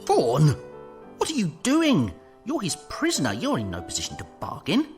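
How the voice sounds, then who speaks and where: A performer acts out a factory boss who is indignant and easily flustered. The voice swings wildly in pitch as it blusters in exasperation, with faint music behind in a science fiction radio drama. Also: huge pitch swings, moderate pace. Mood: panicked, indignant.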